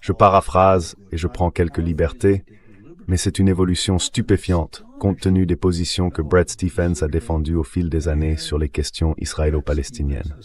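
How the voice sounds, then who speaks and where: A man's voice: low voice, little pitch movement, moderate pace, a little mellow. A man's synthetic voice reading a translated voice-over as a guest analyst discussing politics.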